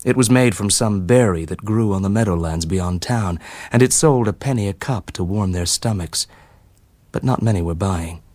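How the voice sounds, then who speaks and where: Slow, low-voiced male story reader. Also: a little mellow, dry recording. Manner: measured, deliberate. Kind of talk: scripted dramatic short story narration.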